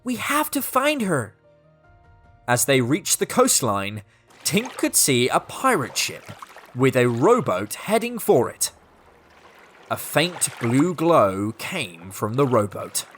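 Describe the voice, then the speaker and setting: A woman reading a children's story as scripted narration, expressive and deliberate, with dramatic pauses. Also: very deep voice, faint music behind.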